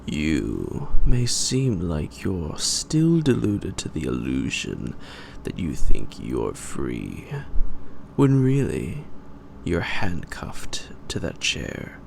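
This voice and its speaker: A very deep, gravelly, sadistic gentleman vampire. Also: wildly swooping pitch, slight background noise, heavily acted. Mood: taunting, menacing. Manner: theatrical, taunting, formal old-fashioned phrasing with drawn-out delivery. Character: suave, menacing male vampire, gentlemanly and sadistic predator. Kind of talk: roleplay audio drama monologue.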